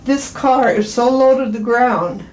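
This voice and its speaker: An elderly woman in her eighties talks casually in an echoey room with faint noise behind her. She rambles in a halting way, restarting and repeating phrases as a patient explaining herself. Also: on the high side, darkish tone. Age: 80s. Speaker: podiatry patient. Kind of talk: casual chat during an appointment.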